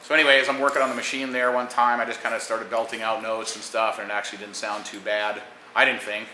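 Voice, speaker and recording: A man's voice: on the low side, flat delivery, fast talker, sharp tone. An American man from Phoenix, a rock musician talking in a casual band interview, joking and swearing freely. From Phoenix, Arizona, USA. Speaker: band musician, guitarist and singer. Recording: roomy echo, faint noise.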